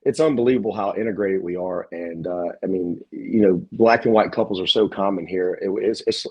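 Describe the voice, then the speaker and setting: A man from South Louisiana, a podcast guest talking in an easygoing, halting way. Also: deep voice, breathy edge, highs cut off. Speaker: gym owner guest. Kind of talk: casual conversation.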